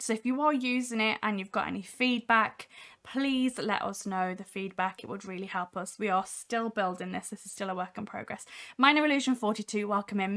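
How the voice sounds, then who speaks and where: A young woman hosting a casual software demo livestream, talking from home with a voice made husky by a cold, chatty with many ums. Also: medium pitch. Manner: chatty, many ums, reads chat aloud. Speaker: livestream host.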